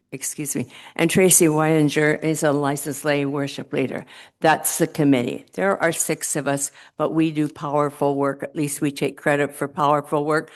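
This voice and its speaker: A woman speaking loudly to a church council meeting in a meeting room, chatty and given to joking asides. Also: deep voice, fairly even intonation, moderate pace. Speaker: committee presenter.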